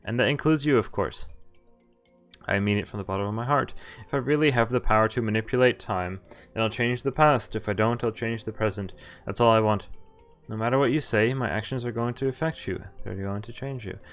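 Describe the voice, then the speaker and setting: Male streamer, lowish pitch, reading game script aloud, occasional stumbles and rereads, background music. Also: brisk, fairly bright tone. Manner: stumbles over words and rereads. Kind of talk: reading visual novel text aloud. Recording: music behind the voice.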